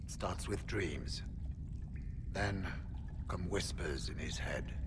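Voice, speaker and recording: A man voicing a grave, courteous senior warrior in a video game, measured, solemn and formal. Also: deep voice. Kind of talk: dramatic video game acting. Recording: long reverb, faint ambient noise.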